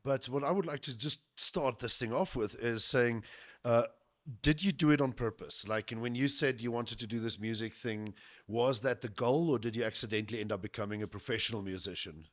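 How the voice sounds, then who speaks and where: A man's voice: medium pitch, fairly quick, fairly bright tone. A man hosting a casual podcast interview, joking and teasing his guest with puns. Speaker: podcast host.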